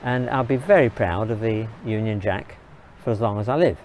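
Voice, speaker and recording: A man from England, giving an earnest, measured opinion monologue in short, halting phrases. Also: lowish voice, darkish tone, slight background noise. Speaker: video blogger.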